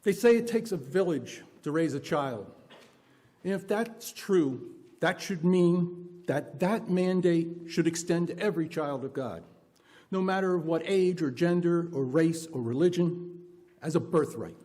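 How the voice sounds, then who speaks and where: A service leader with a male-sounding voice giving an earnest, solemn welcome address to a congregation in deliberate phrases. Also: mid-range voice, warm tone. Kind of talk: prepared sermon-like welcome address. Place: church service, speaking to congregation.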